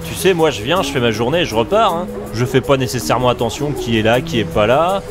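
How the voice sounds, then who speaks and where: A man's voice: mid-range voice, lively intonation, fast, over music, close to an everyday voice. A man playing a grumbling, nostalgic office administrator in an amateur audio drama, talking with exasperated sighs. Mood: puzzled. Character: grumbling middle-aged male office administrator.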